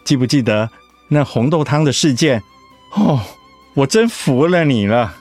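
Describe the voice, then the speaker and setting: An actor playing a devoted, teasing middle-aged husband in a radio drama, with a Taiwanese accent and a coaxing way of talking that easily gets flustered. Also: male voice, mid-range voice, animated, unhurried, darkish tone, soft background music, natural voice. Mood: reflective, tender. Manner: coaxing, stammering when cornered.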